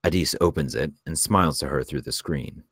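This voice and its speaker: Very deep-voiced man narrating a script. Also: fairly quick, fairly bright tone, slightly rough, no echo, clean studio sound. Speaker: narrator reading stage directions. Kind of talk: scripted narration at a screenplay table read.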